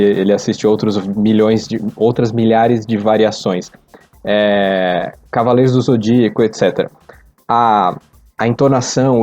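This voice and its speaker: A man's voice: on the low side, dark tone, highs cut off. A Brazilian man in casual podcast discussion, rambling and hesitant as he corrects himself. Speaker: podcast participant.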